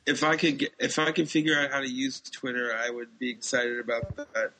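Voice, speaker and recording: A man co-hosting a podcast, giving his opinions casually in a skeptical, low-key way. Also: flat delivery, brisk, bright, forceful tone, poor audio. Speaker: podcast co-host. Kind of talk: casual chat about sci-fi TV shows.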